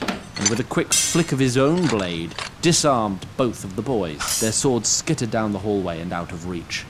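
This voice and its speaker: A man's voice: fairly low pitch, noisy background. A man narrating a scripted fantasy audio drama in a dramatic storytelling style.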